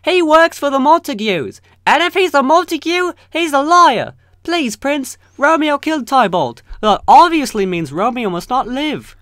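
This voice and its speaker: Young guy putting on a shrill, whiny noblewoman puppet. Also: sing-song, measured pace, hardly any pauses, a bit bright, dry recording, clean studio sound, full character voice. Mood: indignant pleading. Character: shrill pleading noblewoman sock puppet demanding justice.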